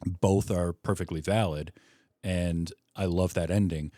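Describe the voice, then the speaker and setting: Low-voiced man, dry podcast sound. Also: steady tone, unhurried, mellow, no room sound. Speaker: podcast host. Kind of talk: casual film discussion.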